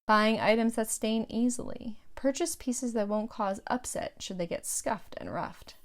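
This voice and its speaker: A middle-aged woman narrating her own audiobook from a script, with measured, clearly phrased delivery. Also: fairly high pitch, darkish tone.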